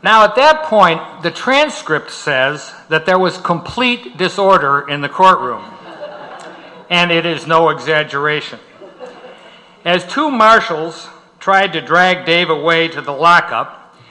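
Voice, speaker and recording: A man's voice: sits high, bright, forceful tone, low-quality recording. An older man giving a prepared speech to an audience, telling a story in an emphatic, dramatic manner.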